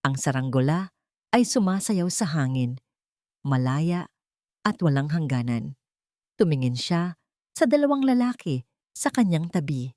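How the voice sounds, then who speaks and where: Low-pitched woman narrating a story. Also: big pitch swings, measured pace, pauses often, a little mellow, no room sound, clean studio sound. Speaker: audio drama narrator.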